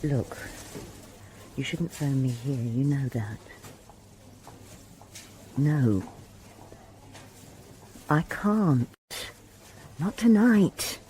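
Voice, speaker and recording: A woman's voice: extremely low pitch, animated, extremely slow, pauses often, mellow, a little hiss or hum, heavily acted, poor audio. A woman playing a weary supermarket worker in a radio drama, curt and guarded. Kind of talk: full-cast radio drama acting.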